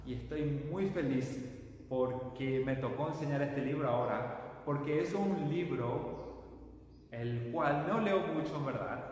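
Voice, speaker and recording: A man in his twenties from Paraguay with a Paraguayan Spanish accent, teaching a Bible lesson to a camera in a small room with a whiteboard, speaking slowly and deliberately. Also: medium pitch. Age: 20s. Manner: slow, deliberate, gestures while speaking. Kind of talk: warm-toned classroom lecture to camera. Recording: very echoey room with a long reverb tail and faint noise.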